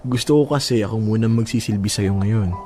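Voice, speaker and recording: A man playing a devoted, affectionate young husband in a Filipino radio drama, speaking in a gentle, reassuring way. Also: on the low side, fairly quick, close to an everyday voice. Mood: playful, caring. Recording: faint music behind the voice.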